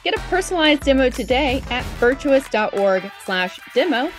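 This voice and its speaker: A woman's voice: high-pitched, big pitch swings, normal speed, music in the background. A woman giving a polished promotional ad read.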